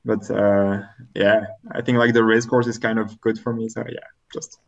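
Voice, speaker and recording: A man's voice: on the low side, flat delivery, moderate pace, gravelly, lo-fi sound. A young Belgian man, a native French speaker talking English with a French accent, answering interview questions hesitantly with many fillers. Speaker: triathlete guest.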